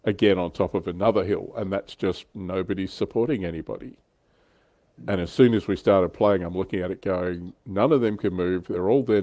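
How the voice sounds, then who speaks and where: A man's voice: low-pitched, a little mellow, no echo. A man chatting casually as he recounts tabletop wargame battles, somewhat hesitant as he pieces his thoughts together.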